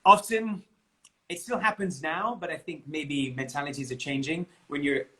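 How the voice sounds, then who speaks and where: A man's voice: fairly high pitch, a bit bright, rough recording. A young man with an English accent, chatting as an interview guest over a video call, laughing often and restarting his sentences. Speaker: interview guest, bagpipe player. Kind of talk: casual chat.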